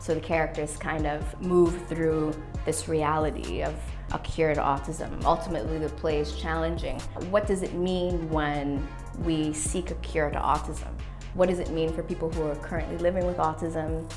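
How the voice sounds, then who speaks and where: A young woman in her twenties speaks in a slightly low voice, earnest and reflective as she is interviewed for a documentary. She talks with speech and a motivational drive, and faint music plays softly behind her. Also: flat delivery, moderate pace. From Canada. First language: English. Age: 20s. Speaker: playwright and youth worker.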